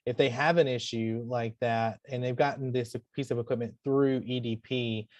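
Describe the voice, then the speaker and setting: A man's voice: mid-range voice, fairly even intonation, moderate pace, dry recording. A man hosting a webinar, moderating in a conversational way. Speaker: webinar host.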